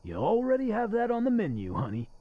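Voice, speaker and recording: An actor playing a crude, bitter but lonely restaurant server in a radio play, with sarcastic, needling delivery. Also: male voice, sits high, wildly swooping pitch, quick pace, gravelly, phone-quality sound, full character voice. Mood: bitter, agitated.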